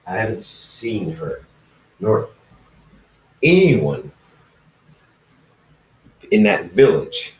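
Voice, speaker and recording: A man acts out an ancient immortal hybrid from a fan story, brooding and defensive. The voice is lowish and halting, with slow phrases, in an echoey room. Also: very animated, full character voice. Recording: roomy echo, distant microphone.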